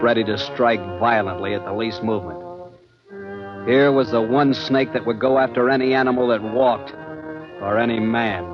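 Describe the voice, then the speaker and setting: A dull vintage radio recording with loud music carries a male actor as a hard-boiled American adventurer. His voice is low, and he tells his jungle tale in clipped, dramatic phrases with an impatient edge. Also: close to an everyday voice. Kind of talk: 1940s radio drama acting, first-person narration.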